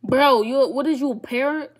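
A woman's voice: high voice, moderate pace, noisy background. A woman in her twenties from Ohio chatting casually with strangers on video calls, blunt and teasing, full of slang, in a small bedroom. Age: 20s. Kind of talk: casual chat.

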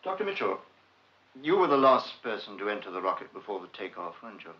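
Sly British sleuth, 1950s film. Animated, slightly husky, politely relentless, muffled vintage sound. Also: male voice, lowish voice, fast talker. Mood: politely probing. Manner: feigned mildness, polite, insistent questioning. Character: probing, sly, urbane male investigator posing as chatty acquaintance.

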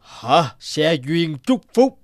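A man's voice: on the high side, big pitch swings, slow, no room sound, clean studio sound. A man voicing an old heavenly emperor in a cartoon, a stern father who speaks in a commanding, emphatic way. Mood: shocked, disapproving.

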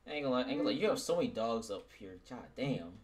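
A man's voice: mid-range voice, animated, racing, natural voice. A man playing a cocky, swearing werewolf in a casual, improvised game roleplay. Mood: teasing. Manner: brash, repetitive, swearing. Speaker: roleplay player and lead actor. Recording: noisy home game mic.

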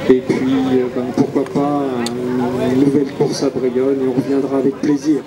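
Relaxed man, crowd chatter behind. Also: mid-range voice, moderate pace, rough recording. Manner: relaxed, hesitant with fillers. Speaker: race rider. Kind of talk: casual thanks speech. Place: outdoors at a motorbike race. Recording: crowd noise around.

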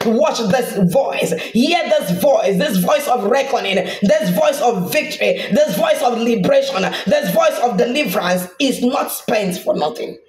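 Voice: medium pitch, animated, unhurried, few pauses, soft background music. A preacher delivering a fervent, declamatory charismatic prayer sermon, repeating phrases and breaking into speaking in tongues. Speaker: preacher leading an online prayer broadcast. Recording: echoey room sound.

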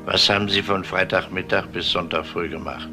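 An actor playing a probing, matter-of-fact police detective in a crime radio drama. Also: male voice, low voice, steady tone, fairly quick, creaky, over music. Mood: confronting. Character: male police detective, probing and blunt interrogator. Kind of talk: German TV-crime style radio drama acting.

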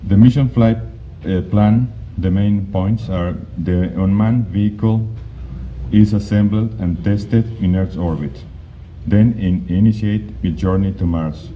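Man, very low voice, halting conference presenter with many restarts; dull lo-fi recording, faint hiss. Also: steady tone. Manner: halting, with frequent restarts and fillers. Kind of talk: slide presentation talk. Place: conference hall.

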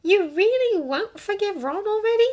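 A very high, swooping teenage witch played by a woman in an audiobook. She is bookish and earnest, insistent and exasperated, yet caring. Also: very animated, normal speed, fairly bright tone, heavily acted. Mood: tentative, insistent. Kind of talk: acted audiobook dialogue.

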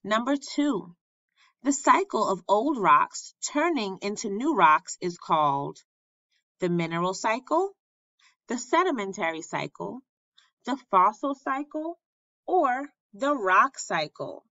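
Slow, bright woman reading aloud. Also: mid-range voice, sing-song, dry recording, dull audio. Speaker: elementary school teacher reading aloud. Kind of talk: children's read-aloud lesson narration.

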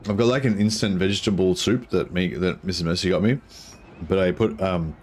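A man, a croaky voice and a headset, chatting casually while streaming a strategy game, rambling with many ums. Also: low-pitched, fairly quick, a little mellow, slight background noise. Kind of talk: casual gameplay commentary.

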